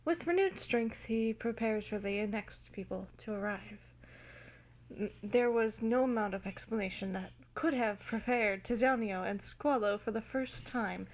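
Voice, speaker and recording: A woman giving an amateur, theatrical and giggly dramatic reading of fan fiction, recorded in low quality on a phone. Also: unhurried, rough recording. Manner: giggly, theatrical, easily cracking up.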